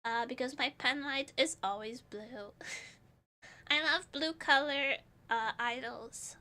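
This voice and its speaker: A woman's voice: sits high, takes it slowly, sharp tone. A woman from Portugal, chatting casually with her live chat as a streamer, giggly and rambling.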